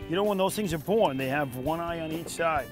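A man's voice: fairly high pitch, lively intonation, brisk, music in the background. A middle-aged man hosting a fishing show from a small boat at sea, explaining techniques in a casual, friendly way. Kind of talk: casual on-camera fishing talk.

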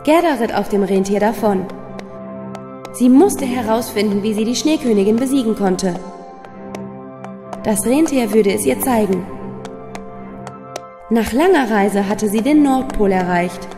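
A woman's voice: mid-range voice, expressive, a little slow, pauses often, long reverb tail. A woman narrating a scripted fairy tale for children as a storyteller. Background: music.

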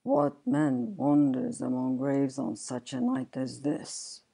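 A woman voicing a stern, taunting male goblin in a storybook reading, with a deep voice and slow, deliberate questioning. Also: very animated, vocal fry, mellow, husky, full character voice. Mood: stern menace.

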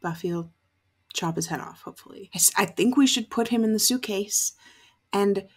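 A woman's voice: mid-range voice, moderate pace, breathy edge. A young adult woman giving chatty, candid reaction commentary on a TV show, with casual swearing. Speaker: reaction video host. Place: bedroom, indoors.

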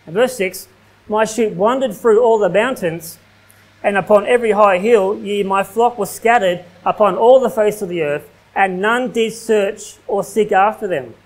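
High-voiced Australian man preaching. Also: moderate pace, low-quality recording. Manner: preaching at a pulpit, reading King James verses aloud, casual fillers like "like". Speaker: pastor. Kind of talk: sermon with scripture reading. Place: small church hall, indoors.

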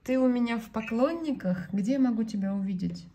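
A woman's voice: a little high, a little fast, mellow. Composed middle-aged woman, faint room noise. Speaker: social media video creator. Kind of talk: casual flirtatious phone chat. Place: small indoor room at home. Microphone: phone at arm's length.

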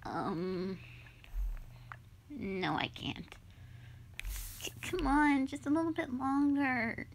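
A young woman playing a sulky, dramatic tsundere gamer girlfriend in an audio roleplay, with a whiny, pouty, drawn-out delivery. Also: sits high, lively intonation, vocal fry, a little hiss or hum, heavily acted. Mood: sheepish. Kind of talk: roleplay audio drama, intimate one-sided dialogue.

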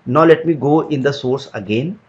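A man at mid pitch talking through a software tutorial one step at a time, in a steady explaining manner. The audio is a bit dull and lo-fi, like a simple desktop mic. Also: moderate pace. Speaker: tutorial instructor. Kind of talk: screen-recorded software tutorial.

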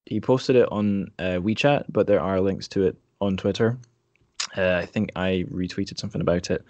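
Male podcast host, lower-pitched, wry and understated, casual interview chat, close dry sound. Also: moderate pace, a little mellow, no room sound, muffled recording.

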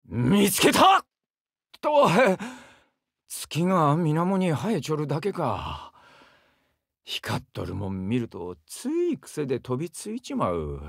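An actor playing a brash, warm-hearted young seaman in a Japanese mobile game, with a southern Japanese dialect and a husky, gravelly, boisterous delivery. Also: on the high side, no room sound, clean studio sound, exaggerated voice. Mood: excited, boisterous. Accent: southern Japanese dialect, Kagoshima-like. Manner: boisterous, rustic, swinging from bashful murmurs to hearty shouts. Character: brash, warm-hearted young muscular seaman, eager big-brother type.

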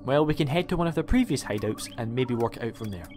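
An actor playing an eccentric, flippant time-travelling alien adventurer in an audio drama. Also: male voice, mid-range voice, animated, quick pace, a little mellow, a little hiss or hum, lightly acted. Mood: breezy. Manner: breezy, reassuring, flippant.

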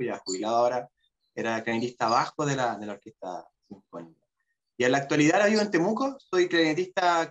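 Chilean man, slightly muffled call audio. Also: mid-range voice. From Santiago, Chile. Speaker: interview guest, orchestral clarinetist. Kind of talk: conversational interview answer. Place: indoor room on a video call. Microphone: video call.

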